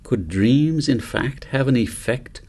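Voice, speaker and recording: A man narrating a novel as its author, in measured, deliberate phrases with pauses. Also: medium pitch, lively intonation, darkish tone. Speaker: author reading his novel. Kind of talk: scripted audiobook narration.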